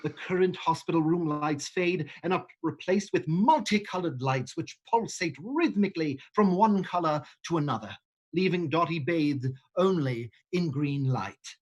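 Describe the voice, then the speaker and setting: A reader voicing the stage directions of a play in an even, measured way during a livestream reading. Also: medium pitch.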